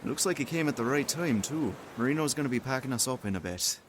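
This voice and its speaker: An actor playing a teasing, cynical police colleague in an audio drama, casual and wry. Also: male voice, medium pitch, animated, fast, slight background noise, natural voice.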